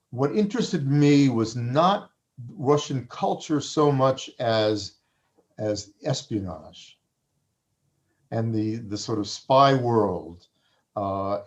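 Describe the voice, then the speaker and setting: A man whose first language was Farsi, talking as an interviewed author. He speaks reflectively and often pauses to restart his sentences. The recording sounds a little dull and lo-fi. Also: medium pitch, expressive.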